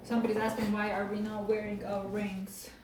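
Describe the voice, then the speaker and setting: A woman's voice: medium pitch, completely flat delivery, brisk, a little mellow, slight background noise. A woman from Serbia co-hosting a livestream, giving short, hesitant replies. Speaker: co-host, partner. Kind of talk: casual livestream chat. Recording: echoey room, mic at a distance.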